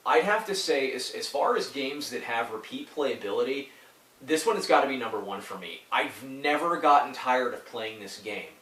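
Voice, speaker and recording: A man's voice: medium pitch, lively intonation, moderate pace, a bit bright, recorded from a distance. A man with a head cold and a stuffy voice, talking casually and rambling, with lots of fillers and restarts. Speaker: YouTuber reviewing retro games. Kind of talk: casual talk to camera. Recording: echoey room, a little off mic.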